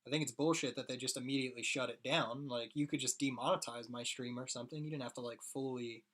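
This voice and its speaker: Hoarse young man chatting on a live stream. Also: medium pitch, steady tone, quick pace, fairly bright tone. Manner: rambling, chatty, talks to chat viewers. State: hoarse, losing his voice. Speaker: streaming artist. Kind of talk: casual live-stream chat while drawing.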